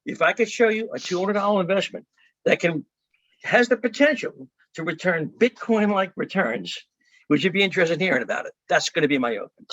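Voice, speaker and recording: Higher-voiced American man on a dull call line. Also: animated, a little fast, a bit bright, no room sound. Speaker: call participant, salesperson. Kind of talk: rehearsed sales pitch in conversation. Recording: dull, band-limited call audio.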